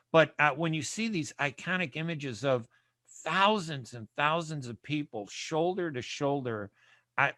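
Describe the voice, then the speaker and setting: Male radio host from the US, bright, forceful tone, dry close sound. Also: medium pitch, no room sound. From United States. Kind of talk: conversational radio interview.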